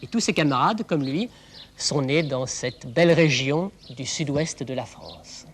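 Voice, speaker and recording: A man's voice: mid-range voice, sing-song, unhurried, slightly rough, heavily acted. A man playing a chatty narrator telling a story to children, playful, with rhetorical questions and repetitions. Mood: playful, confiding.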